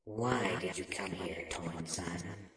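A man voicing an enigmatic, eerily calm music teacher in an audio story, measured and formally polite, through heavy reverb. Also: low voice. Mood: calm, eerie. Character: enigmatic unseen male music teacher, eerie, calm, softly smiling. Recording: long echoing reverb.

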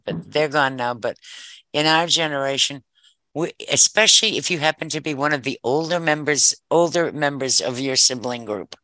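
A woman's voice: low-pitched, pushed and bright, no echo, low-quality recording. An older woman chatting casually, hesitant, circling back and repeating her phrases as she goes. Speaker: discussion participant. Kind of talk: casual conversation.

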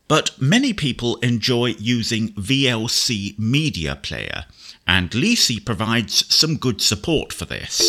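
A man presenting a software tutorial in a methodical, precise instructional manner, explaining step by step as he demonstrates. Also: mid-range voice. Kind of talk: spoken screen-reader software demonstration.

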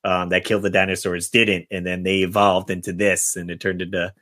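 Male podcast host, low voice, informal rambling movie chat. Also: level tone, brisk, sharp tone. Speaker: podcast host running a guessing game.

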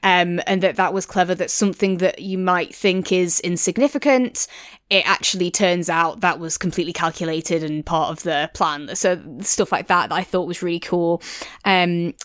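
A woman's voice: lowish voice, smooth, dry recording, studio quality. A woman in a casual review discussion as a podcast co-host, thinking aloud in a tentative, hedging way.

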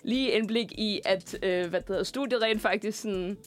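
En ung mand, der vært i et radiostudie og snakker løst og fyldord-tungt. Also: male voice, high-pitched, soft background music. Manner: rambling, many fillers and restarts. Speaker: radio host. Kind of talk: casual studio chat. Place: student radio studio.

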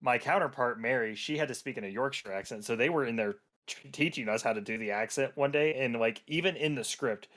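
A man's voice: medium pitch, brisk, a bit bright. A young man hosting a podcast who chats casually, rambling through stories and laughing easily. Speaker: podcast host.

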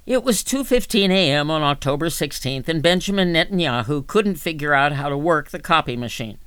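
A man reading magazine articles aloud as scripted narration, in a measured, deliberate manner. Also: fairly high pitch, expressive, fairly bright tone, clear, resonant tone. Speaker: volunteer magazine reader for a reading service.

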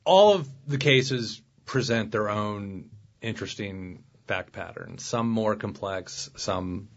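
Low-ish guy in his 30s, laid back podcast guest, kinda rambly, bit muffled sound. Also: slow. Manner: relaxed, restarts sentences while thinking aloud. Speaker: guest attorney. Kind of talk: casual podcast interview. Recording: slightly muffled podcast recording.

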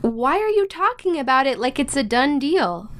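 Guarded, high-voiced young American woman, acted. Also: sing-song, normal speed, fairly bright tone, a little hiss or hum. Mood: reluctant. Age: young adult. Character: Jo, stubborn, anxious young woman, protective big sister. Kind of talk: naturalistic modern audio drama acting.

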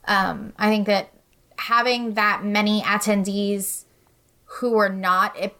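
A woman's voice: medium pitch, level tone, slow, sharp tone. A woman talking as a podcast guest in a casual, opinionated discussion, earnest and articulate.

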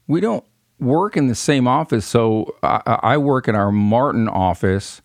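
A middle-aged man from Michigan answering casually as a podcast guest, in a halting, rambling way. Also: medium pitch, expressive, no room sound, clean studio sound. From Michigan, United States.

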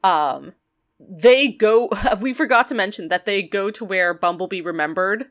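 A woman's voice: medium pitch, unhurried. A woman co-hosting a podcast, chatting casually and enthusiastically as she recaps an episode, with many fillers. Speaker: podcast co-host.

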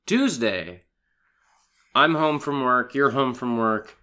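Man, hoarse from a cold, casual podcast chat, animated, lively pitch. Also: takes it slowly. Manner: animated, laughing, swearing. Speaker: podcast co-host.

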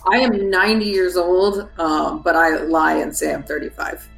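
Gravelly, chatty older gnome woman, played by a gamer. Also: medium pitch, level tone, normal speed, fairly bright tone. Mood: chatty, guarded. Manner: rambling, folksy. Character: chatty ninety-year-old woman gnome cook, genially evasive. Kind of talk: improvised in-character tabletop roleplay. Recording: roomy echo, faint music behind.

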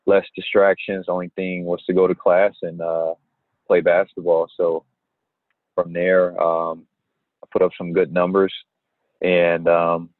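Very deep, flat-voiced young man on a tinny line. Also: dry recording. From Gary, Indiana. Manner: unhurried, with many "um" and "uh" pauses. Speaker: basketball player, interviewee. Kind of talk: relaxed interview answers.

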